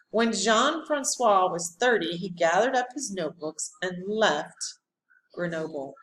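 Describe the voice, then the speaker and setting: A woman reading a storybook aloud like a teacher, with deliberate, dramatic phrasing and pauses. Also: fairly low pitch, slight background noise, poor audio. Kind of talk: expressive read-aloud of a children's history storybook.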